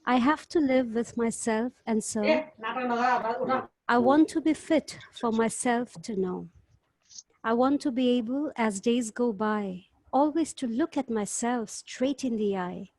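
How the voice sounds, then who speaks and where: Female poetry-group member, higher-pitched, on a video call with a very dry, echo-free sound. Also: a little mellow. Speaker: poetry group member reading a chosen poem. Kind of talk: casual discussion and poetry recitation at an online gathering.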